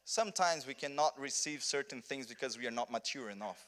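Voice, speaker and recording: A man's voice: medium pitch, expressive, fairly quick, fairly bright tone. Brazilian man preaching earnestly. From southern Brazil. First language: Portuguese. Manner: earnest, many restarts and repeated words. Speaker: pastor. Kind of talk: conference sermon.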